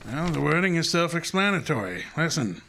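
A man's voice: on the high side, very animated, fairly quick, gravelly, pushed and bright, slight background noise, heavily acted. A man playing an imperious, erudite master detective in a radio drama, speaking in a formal, precise and pompous way. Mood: smug. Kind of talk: old-time detective radio drama acting.

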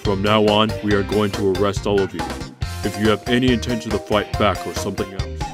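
A man's voice: lowish voice, level tone, fairly quick, close to an everyday voice. A man playing a stern masked ninja enforcer in an amateur anime fan dub. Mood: commanding. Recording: clear music behind the voice.

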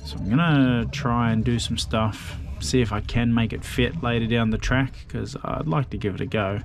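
A relaxed man narrates his motorcycle restoration in a casual, rambling voice-over. His pitch sits in an ordinary middle range, and he pads his explanations with fillers and dry, self-deprecating jokes. A faint background hiss sits under the recording. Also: normal speed, creaky.

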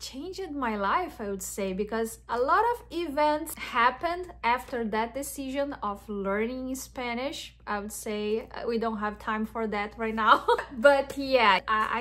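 A woman's voice: fairly high pitch, a bit bright. A woman from Brazil with a Brazilian Portuguese accent, a native Portuguese speaker chatting enthusiastically to camera and often restarting her phrases. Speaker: wellness vlogger. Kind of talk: casual chat to camera.

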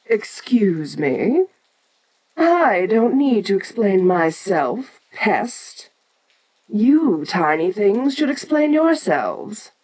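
An ancient kaiju giantess played by a woman speaks straight to the listener in an audio roleplay. She is imperious and condescending, taking her time over each phrase while her pitch swoops with lively, theatrical expression. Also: very slow, breathy edge, heavily acted, poor audio. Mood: weary, irritated. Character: ancient giant kaiju queen, haughty, domineering female monster.

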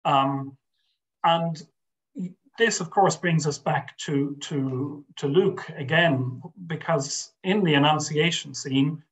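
A man's voice: fairly high pitch, a bit bright, poor audio. Hesitant older man on a video call. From Ireland. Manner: hesitant, many restarts and fillers, slow and thoughtful. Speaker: guest scholar. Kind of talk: interview over video call. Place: home study with bookshelves. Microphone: webcam on video call.

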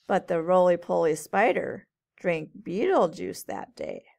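A woman teacher reading a storybook aloud to children in a measured, sing-song way. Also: lowish voice, wildly swooping pitch, gravelly, darkish tone. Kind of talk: storybook read-aloud narration.

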